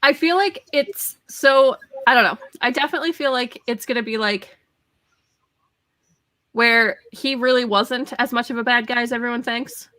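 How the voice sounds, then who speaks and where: A woman's voice: on the high side, moderate pace, pushed and bright, no room sound. A woman chatting casually in a live book discussion, very colloquial and acting out reactions as she goes.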